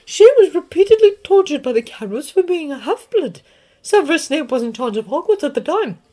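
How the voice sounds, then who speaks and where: A woman voicing a stern older female professor who speaks in a low, grave and measured way. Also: sing-song, warm tone. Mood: somber.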